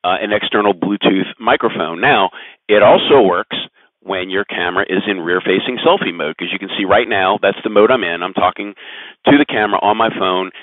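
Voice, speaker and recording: Low-voiced man on a phone-like headset mic. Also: dry recording. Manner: hesitant, halting, repeats words. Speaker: aviation vlogger. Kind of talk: casual explainer to camera. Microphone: aviation headset over Bluetooth to phone. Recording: muffled phone-like Bluetooth headset audio.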